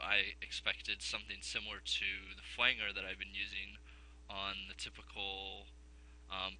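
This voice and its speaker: A man with a rough, gravelly voice from a cold, casually explaining software as a tutorial host in a loosely phrased way. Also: on the low side, poor audio. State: has a cold and cough. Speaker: music production tutorial host.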